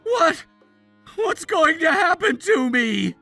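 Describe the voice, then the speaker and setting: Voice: very high voice, sing-song, slow pace, vocal fry, pushed and bright, no echo, faint music behind, heavily acted. A performer voicing a cheating husband in a story, whiny, frantic and full of excuses. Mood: pleading.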